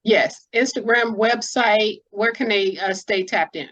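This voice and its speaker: A woman's voice: medium pitch, measured pace, fairly bright tone, no room sound. A woman hosting a casual interview, talking in an informal, slangy way. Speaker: interviewer, host.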